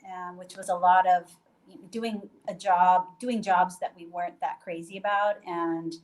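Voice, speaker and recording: A woman's voice: fairly low pitch, steady tone, measured pace, darkish tone, rough recording. Chatty woman on a video call. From Puerto Rico. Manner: chatty, loose, full of fillers and restarts. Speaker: guest, hostel owner. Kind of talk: casual video call interview. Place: bedroom in a guesthouse.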